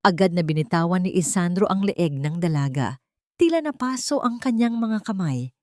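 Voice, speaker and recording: Female storyteller, lower register, solemn measured delivery for romantic drama, close dry sound. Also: animated, dark tone, no echo. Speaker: story narrator. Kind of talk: dramatic scripted narration of a love story.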